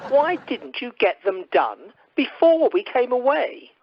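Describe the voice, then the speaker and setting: Stuffy middle-aged husband played by a woman, irritable, formal, expressive pitch, dull phone sound. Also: a little slow, slightly rough, no echo, heavily acted. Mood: irritated. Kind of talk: amateur radio comedy acting. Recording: dull, dry telephone conference line.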